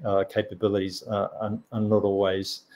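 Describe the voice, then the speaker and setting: A man answering on a video call panel, earnest and halting, chuckling at times. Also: on the low side, monotone, darkish tone. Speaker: cyber security executive panelist. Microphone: video call webcam.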